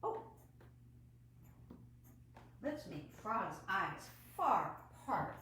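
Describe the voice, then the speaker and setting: Slow, very lively woman hosting children's storytime. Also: medium pitch. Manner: animated, asks child viewers questions. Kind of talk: children's storytime read-aloud and craft demonstration. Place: at home, indoors. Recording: roomy echo, faint background noise.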